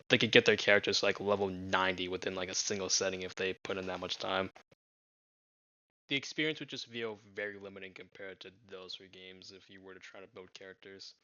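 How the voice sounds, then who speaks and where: A young man giving his gaming opinions in a monotone, flat delivery, speaking as a channel host. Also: low voice, clear, resonant tone, dry recording, muffled recording. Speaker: gaming commentator. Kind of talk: opinion commentary to camera.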